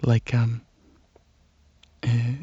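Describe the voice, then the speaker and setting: Soft, husky, hesitant alien dragon played by a man. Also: mid-range voice, completely flat delivery, no echo, dull audio, full character voice. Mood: curious, earnest. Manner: hesitant, halting, softly earnest. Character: shy, gentle alien dragon host, curious about Earth. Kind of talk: ASMR roleplay, soft rambling monologue.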